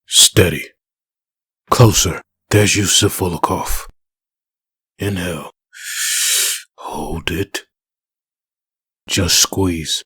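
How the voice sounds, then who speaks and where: A man playing a gruff, intense soldier antihero in a dramatic comic dub. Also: low voice, drawn-out words, long pauses, bright, forceful tone, dry recording, clean studio sound, exaggerated voice. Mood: tense, hushed.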